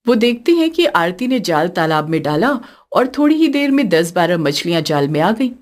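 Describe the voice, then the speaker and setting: A woman's voice: fairly low pitch, very animated, normal speed. A woman narrating a scripted story as a storyteller.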